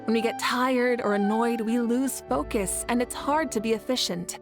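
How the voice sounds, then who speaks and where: Woman doing confident game heroine leader, kinda high voice, calm and thoughtful, speaks really clearly, bit of music behind. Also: close to an everyday voice. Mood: calm, reflective. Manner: measured, articulate, thoughtful. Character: confident, composed warrior leader, a self-described workaholic idealist. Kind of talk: video game character dialogue.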